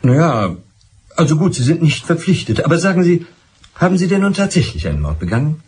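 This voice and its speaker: An insistent, animated police inspector. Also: male voice, on the high side, brisk, husky, full character voice. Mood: dryly exasperated. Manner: formal, insistent, dry questioning. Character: persistent, exasperated male police inspector questioning a suspect. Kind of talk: radio drama acting.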